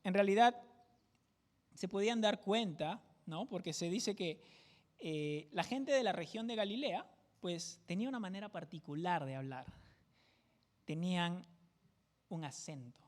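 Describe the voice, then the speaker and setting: High-voiced man with Peruvian jungle accent. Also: measured pace, long pauses, big-room sound. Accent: Peruvian jungle (selva) Spanish. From Peru. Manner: conversational, keeps checking in with tag questions. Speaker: pastor teaching a Bible study. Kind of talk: verse-by-verse Bible teaching sermon.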